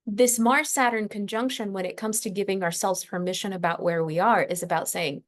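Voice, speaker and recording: A woman hosting an astrology podcast, speaking unscripted in a reflective, emphatic way with deliberate pauses mid-thought. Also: mid-range voice, fairly even intonation. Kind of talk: unscripted spiritual astrology lesson.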